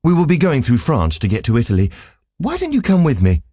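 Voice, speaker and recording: Man voicing an eager, naive young man, pitched up. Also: wildly swooping pitch, a little fast, dark tone, husky, no echo, clean studio sound. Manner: wide-eyed, questioning, earnest. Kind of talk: audiobook character voice.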